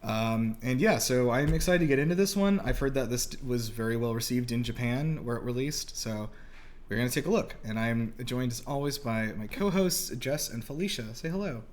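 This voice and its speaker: A man hosting a movie reaction show, explaining things in a halting, informal, conversational way as he thinks aloud. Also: medium pitch, expressive.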